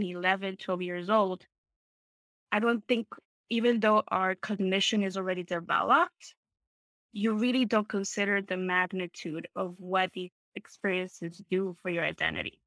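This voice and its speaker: A woman speaks at a middling pitch. She shares personal stories in a conversational, thoughtful way, open and inclined to seek agreement as she goes. The sound is dry, with hardly any room in it. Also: fairly even intonation, normal speed, fairly bright tone, dry recording, low-quality recording. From Peru, small town. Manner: reflective, open, often seeks agreement with tags.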